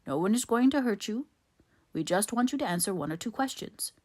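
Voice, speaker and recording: A woman voicing a composed, authoritative military gentleman in an audiobook, measured and dry yet kindly. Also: medium pitch, animated, voice pushed up, lightly acted. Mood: gentle, probing. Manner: measured, reassuring, questioning.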